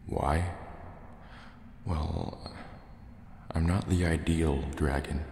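A man acts out a wary sea serpent dragon in a soft ASMR roleplay. The creature speaks slowly and formally, with a gravelly rumble and hesitant phrasing. Long cave reverb surrounds every word. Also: fairly even intonation, full character voice. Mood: hesitant, softening. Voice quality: gravelly, softly spoken. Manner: slow, hesitant, formal wording. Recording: cavernous echo, long reverb, faint water noise.

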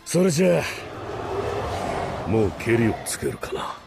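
An actor playing a cocky, battle-hungry demon man in an anime game, with rough, taunting, drawling speech. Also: mid-range voice, wildly swooping pitch, measured pace, exaggerated voice. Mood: confident, smug. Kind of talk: Japanese anime game voice acting. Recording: music behind the voice.

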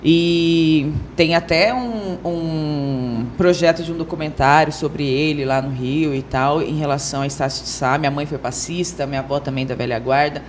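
A woman's voice: sits low, moderate pace, hardly any pauses, slight background noise. A young Brazilian woman casually introducing herself as a podcast guest. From Brazil, Rio de Janeiro and Mato Grosso do Sul. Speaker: guest, samba singer. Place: small wood-panelled TV studio, indoors. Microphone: handheld microphone.

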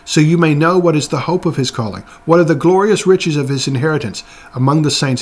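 Earnest man teaching to camera. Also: a little high. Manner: earnest, exhorting, restarts often. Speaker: Bible teacher. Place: room with bookshelves behind.

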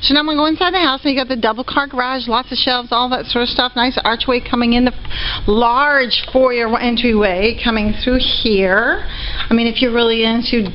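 A woman's voice: on the high side, steady tone, a little fast, a little hiss or hum. Chatty woman touring a house. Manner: chatty, upbeat, lots of fillers. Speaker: real estate auction previewer. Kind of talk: walking property tour commentary. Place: indoors and outdoors around a house. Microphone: handheld camera mic while walking. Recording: dull lo-fi camera audio.

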